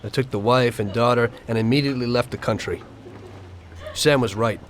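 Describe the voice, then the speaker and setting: A man's voice: mid-range voice, moderate pace, slight background noise, close to an everyday voice. A man voicing a weary mobster turned witness. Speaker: game protagonist.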